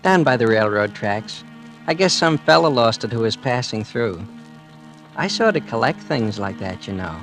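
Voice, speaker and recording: A man's voice: mid-range voice, expressive, normal speed, fairly bright tone. An actor in old-time radio drama playing a polite, troubled young male murderer, speaking in a hesitant, earnest way. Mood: wistful, intense. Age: young adult. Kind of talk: 1940s-50s radio drama acting and first-person narration. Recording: old radio recording with music. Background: dramatic music.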